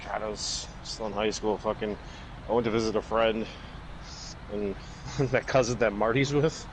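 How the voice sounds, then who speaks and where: A man's voice: on the low side, steady tone, moderate pace. A young man chatting casually and telling stories in a crude, sweary way, acting out the dialogue as he goes. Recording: vehicle and road noise.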